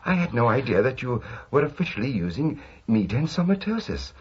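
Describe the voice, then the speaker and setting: A husky, excitable old eccentric. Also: male voice, medium pitch, a little fast, highs cut off. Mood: rapt, wondering. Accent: British. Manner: stammering, dithering, wordy. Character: excitable, scholarly old eccentric obsessed with spiritualism. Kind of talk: radio drama acting.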